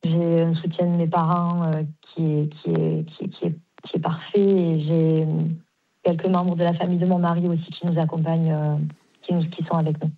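A woman in her forties speaks over a tinny phone line, her voice a little low. She talks haltingly, often repeating words before she finds them. She agrees readily and warmly. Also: flat delivery. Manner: halting, repeats herself, warm and emphatic agreement. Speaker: caller, mother. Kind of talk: phone interview on radio.

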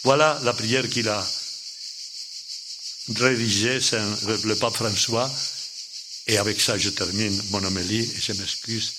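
An older man, not a native French speaker, preaching a sermon slowly in short, halting phrases. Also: on the low side, fairly even intonation, very noisy.

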